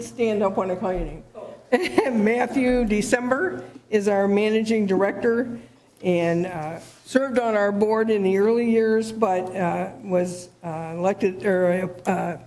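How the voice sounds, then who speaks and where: A woman who is board president introducing members and staff at a public meeting, with a halting delivery and frequent fillers. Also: medium pitch, darkish tone. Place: township meeting room.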